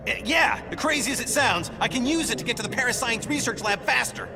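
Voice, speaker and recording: A man's voice: very high voice, expressive, a little fast, over music. A man playing a serious, exasperated hero ally in a video game, terse and intense. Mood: thinking aloud. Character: urgent, serious male futuristic hero ally.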